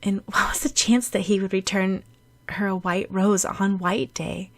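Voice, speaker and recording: A woman's voice: medium pitch, steady tone, a little slow. A woman narrating a story expressively as a scripted audiobook.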